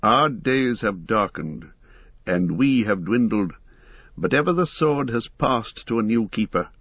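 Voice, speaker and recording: A man reading the part of a grave, weary heir of kings, speaking calmly and earnestly. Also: lowish voice, a little slow, husky, dry recording, highs cut off, full character voice. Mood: dignified. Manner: calm, dignified, earnest.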